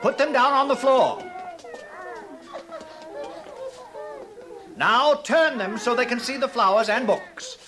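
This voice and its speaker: A man's voice: very high voice, expressive, moderate pace, a crowd in the background. An actor playing an imperious, coldly smug male director, lecturing in a pompous, declamatory vintage radio drama style. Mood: commanding. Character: imperious male director lecturing students, smugly cold authority. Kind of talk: 1950s radio drama acting.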